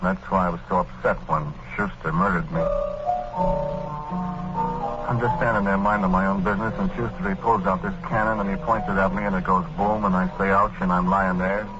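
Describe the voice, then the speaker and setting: A man's voice: sits low, steady tone, fairly quick, loud music behind the voice, natural voice. An actor playing an aggrieved, befuddled murdered man who tells his story matter-of-factly and colloquially in a 1940s radio drama. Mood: aggrieved, puzzled. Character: aggrieved, plain-spoken murdered man, now a befuddled ghost. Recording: slightly muffled old radio broadcast.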